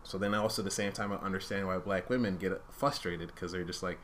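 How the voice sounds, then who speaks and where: Deep-voiced man reflecting in casual discussion. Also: fairly even intonation, fast talker, a bit bright, slight background noise. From Uganda-born, raised in Rwandan culture. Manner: reflective, hedging, thinking aloud. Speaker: podcast co-host.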